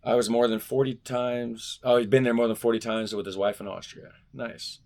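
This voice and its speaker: A man's voice: medium pitch, little pitch movement, normal speed, a little mellow. A man vlogging at home, reading a fan letter aloud and joking casually between passages. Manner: reads stiffly, then jokes loosely between passages. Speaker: vlogger unboxing fan mail.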